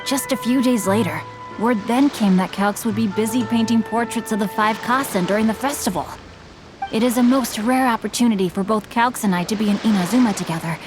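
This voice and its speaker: A woman's voice: fairly high pitch, natural voice. A woman playing a polite, bookish teenage young master in a video game, with courteous, eloquent and slightly formal speech. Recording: background music under the voice.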